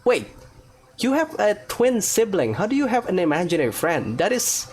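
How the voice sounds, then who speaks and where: A woman's voice: medium pitch, sing-song, moderate pace, darkish tone. A woman streamer chatting and reacting aloud while playing through a game story. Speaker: let's play streamer. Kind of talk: casual commentary over gameplay.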